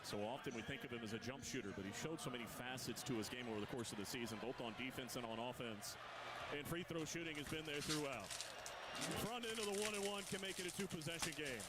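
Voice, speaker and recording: Guy doing courtside basketball play-by-play, quick excitable calls, loud arena crowd behind. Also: on the high side. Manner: rapid, excitable calls rising with the action. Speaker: play-by-play commentator. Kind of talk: live radio basketball play-by-play. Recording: noisy live arena broadcast.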